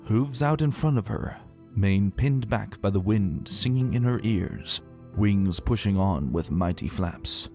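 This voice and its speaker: Expressive low-voiced man narrating a story. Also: unhurried. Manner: expressive, theatrical delivery. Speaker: narrator reading fan fiction aloud. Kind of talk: dramatic scripted story narration. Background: music.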